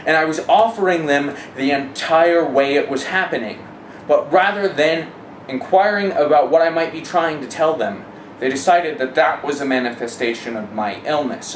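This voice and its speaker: Emphatic speaker in a noisy, echoey room. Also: medium pitch. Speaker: interviewee giving testimony. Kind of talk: personal testimony in an interview. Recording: echoey room, clear background noise.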